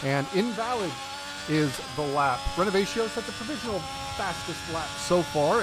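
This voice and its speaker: A man with an American accent giving chatty play-by-play commentary on a sim racing league race. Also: fairly high pitch. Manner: chatty play-by-play with fillers and self-corrections.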